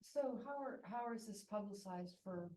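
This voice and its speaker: A woman in the audience asking questions quickly. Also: mid-range voice, warm tone. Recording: far from the mic.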